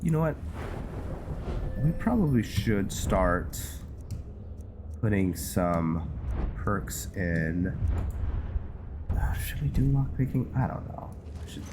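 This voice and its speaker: A man giving casual commentary on a game playthrough, thinking aloud and narrating his actions in a halting way. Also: on the low side, very animated, noisy background. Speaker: gaming video host. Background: loud game music.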